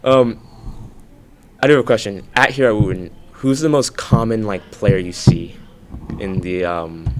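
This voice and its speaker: Man, very low voice, hesitant interviewer, faint noise behind. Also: unhurried. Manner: hesitant, lots of fillers. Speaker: student interview host. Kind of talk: casual interview.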